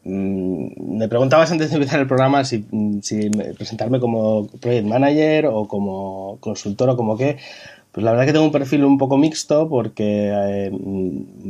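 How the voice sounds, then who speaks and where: Hombre de voz cálida, invitado. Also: male voice, mid-range voice, warm tone. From Bilbao, Spain. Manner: thoughtful, explanatory, with fillers. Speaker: podcast guest, web project consultant. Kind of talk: casual podcast chat.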